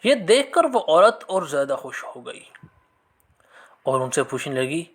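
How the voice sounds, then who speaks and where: A man narrating scripted religious moral stories in an expressive, measured storytelling manner. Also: on the high side, husky.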